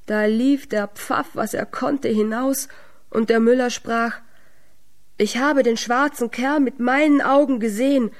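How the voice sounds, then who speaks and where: Woman storyteller reading a fairy tale. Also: on the high side. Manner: measured, expressive storytelling. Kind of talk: fairy-tale narration for radio drama.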